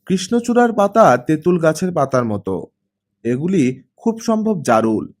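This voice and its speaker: A man's voice: fairly high pitch, sing-song, unhurried. A man voicing a friendly, easygoing male teacher who likes telling stories in an audiobook. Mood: storytelling.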